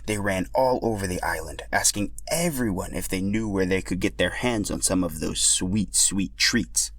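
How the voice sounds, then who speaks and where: A man's voice: deep voice, moderate pace, no echo. A man narrating a scripted story as a podcast storyteller.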